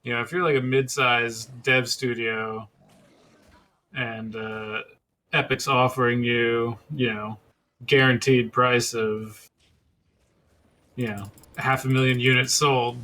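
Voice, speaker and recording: A man with a bright, forward voice at a middling pitch co-hosts a podcast. He shares his opinions in loose, casual conversation. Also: little pitch movement, takes it slowly, long pauses. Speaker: podcast co-host.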